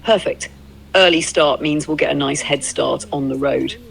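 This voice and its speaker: A man's voice: a little high, steady tone, normal speed, fairly bright tone, low-quality recording. A synthetic male voice chatting casually and agreeably as an encouraging road-trip companion. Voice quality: creaky synthetic voice. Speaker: AI companion playing a documentarian. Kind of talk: casual roleplay chat.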